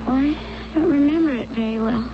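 A woman's voice: high voice, normal speed, close to an everyday voice. An actress playing a fragile, forgetful wife in a radio drama, hesitant and faltering, childlike in her simplicity. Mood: dazed, wistful. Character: fragile, confused wife in hospital, tearful and forgetful. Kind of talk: 1970s radio drama acting. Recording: dull, noisy old radio broadcast.

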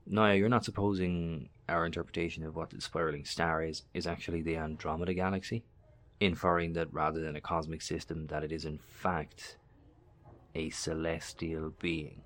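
Deep voiced brainy investigator man, skeptical, formal n wordy, horror audio drama. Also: level tone, moderate pace. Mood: wary, incredulous. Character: learned, skeptical male investigator, politely formal and analytical.

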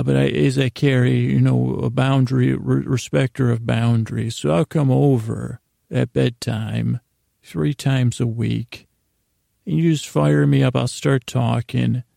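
A man hosting a bedtime podcast in a meandering, halting monologue with a gravelly voice, often interrupting himself. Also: medium pitch, mellow, no room sound, clean studio sound. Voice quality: gravelly, creaky. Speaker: podcast host. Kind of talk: rambling bedtime monologue to help listeners sleep.

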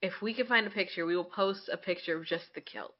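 A woman's voice: lowish voice, fast, lo-fi sound. A woman co-hosting a casual chat show, talking in a candid, sarcastic way with casual swearing. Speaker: podcast co-host.

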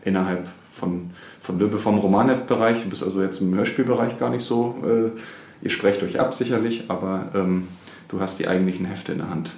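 A man's voice: low voice, brisk, darkish tone. A man hosting a podcast, chatting casually as he interviews staff on location. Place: indoors, publishing house office. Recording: echoey office, clear background noise.

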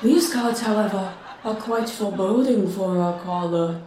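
A woman plays a grand, mysterious fortune teller, portentous and unhurried. Her voice is fairly high and mellow, set back in a very echoey space. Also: slight background noise, lightly acted. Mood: ominous. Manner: portentous, mystical. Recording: echoey, distant from the mic.

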